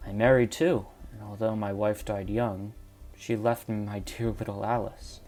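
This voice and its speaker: A man's voice: lowish voice, steady tone, moderate pace, darkish tone, lightly acted. A man playing an old, dying landowner in an audio drama, confessing in a solemn, formal, old-fashioned way, bitter and desperate. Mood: remorseful, wistful. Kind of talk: amateur audio drama acting of a Victorian detective story. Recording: dramatic music under the voice.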